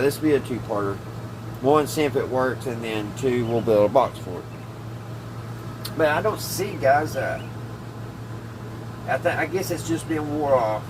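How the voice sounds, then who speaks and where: A man hosting a casual hobby workbench video, rambling and hesitant as he thinks aloud. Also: mid-range voice. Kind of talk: casual workbench vlog about old radios. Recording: clearly noisy room, steady hum.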